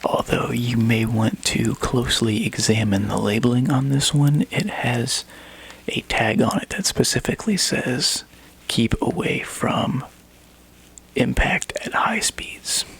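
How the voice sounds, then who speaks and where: A man speaking softly and breathily close to the microphone in an ASMR roleplay, playing a laid-back, wry video game store clerk who chats casually with a customer. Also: unhurried, slight background noise. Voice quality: breathy whisper and soft low murmur. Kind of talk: ASMR roleplay, one-sided customer chat.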